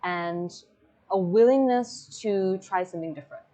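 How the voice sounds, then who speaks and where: A woman with a Singaporean English accent speaking as a guest on a panel over a video call, articulate, opinionated and measured. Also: medium pitch, mellow. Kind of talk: panel interview chat.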